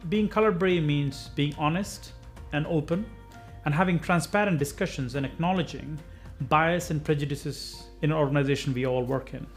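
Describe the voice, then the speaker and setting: A man's voice: a little high, moderate pace, soft background music. A man speaking in a composed, sincere way in a corporate testimonial.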